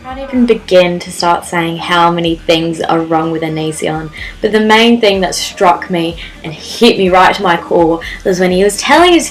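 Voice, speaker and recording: A woman's voice: fairly high pitch, poor audio. A woman speaking with indignation in a rant. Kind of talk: ranting to camera.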